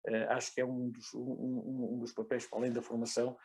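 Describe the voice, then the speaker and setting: A man with a European Portuguese accent giving his view as a football guest, halting and repeating words as he argues. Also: medium pitch, monotone, a little mellow, breathy edge, low-quality recording. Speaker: football guest, former player. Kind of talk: casual panel discussion.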